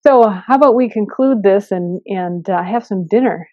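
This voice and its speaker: A woman's voice: medium pitch, moderate pace. A woman hosting a podcast in a conversational style, with a dull, echoey recording. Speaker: podcast host. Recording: dull, echoey room sound.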